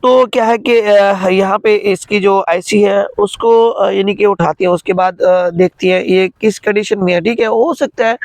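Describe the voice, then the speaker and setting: A man giving an informal, step-by-step hands-on repair tutorial, halting as he goes and constantly checking in with his listeners. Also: sits high, vocal fry, no room sound. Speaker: phone repair tutorial host.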